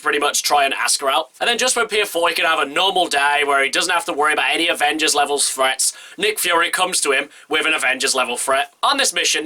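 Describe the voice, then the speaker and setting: Young guy reviewing a movie, bunged up from a cold, talks super fast, bright punchy voice. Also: a little high. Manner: chatty and enthusiastic, quick run-on sentences. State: stuffy nose from a cold. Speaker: film reviewer. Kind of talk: casual movie review to camera.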